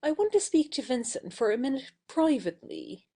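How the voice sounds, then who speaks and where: An actress playing an imperious but warm-hearted young lady of the house, bossy and emphatic, in a satirical audio play. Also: high voice, very animated, normal speed, husky, exaggerated voice.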